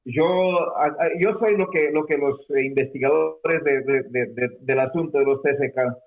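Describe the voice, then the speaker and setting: A man from peru talks as a guest over a narrow, tinny line, a bit high for a man, full of fillers and halting restarts. he speaks in a hesitant way. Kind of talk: conversational explanation. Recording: phone-like narrow sound.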